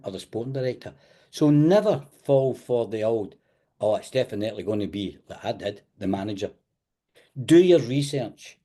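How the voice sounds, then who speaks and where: A middle-aged man with a Scottish accent teaches over a video call, his mellow, warm voice sitting at an ordinary pitch. He talks in an animated, emphatic way, driving home blunt practical advice with a few Scottish turns of phrase. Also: husky. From Scotland. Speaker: webinar host and football agent coach. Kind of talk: online webinar teaching.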